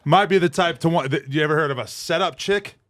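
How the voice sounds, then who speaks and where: A man's voice: on the high side, fast talker, noisy background. A man in his forties hosting a podcast interview, joking and asking teasing questions. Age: 40s. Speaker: podcast host. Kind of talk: casual interview chat.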